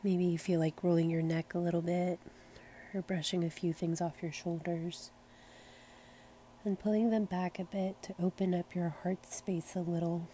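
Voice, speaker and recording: A woman leading a guided meditation in a gentle, soothing, unhurried manner. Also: fairly low pitch, level tone, slight background noise. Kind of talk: slow guided meditation narration.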